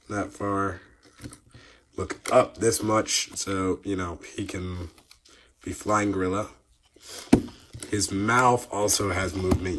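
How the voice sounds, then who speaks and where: A man casually reviewing a toy, his voice slightly hoarse after being sick, rambling with many fillers and restarts. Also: low-pitched, slight background noise. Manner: relaxed, rambling, frequent filler words and restarts.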